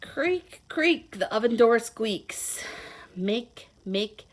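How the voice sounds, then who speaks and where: A woman reading a children's picture book aloud in a playful, expressive, sing-song way, adding simple asides to her young listeners. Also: fairly high pitch, very slow. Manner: simple child-directed explaining. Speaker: children's read-aloud host, teacher.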